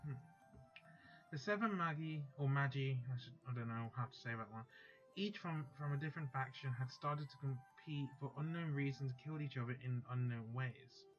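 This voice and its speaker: A young man reads a visual novel's lines aloud for his playthrough, his voice neither high nor low. He pauses at unfamiliar words and checks their pronunciation. Soft music plays faintly behind him. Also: muffled recording. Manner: halting read-aloud, stops to check pronunciation. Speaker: gaming YouTuber. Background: faint background music.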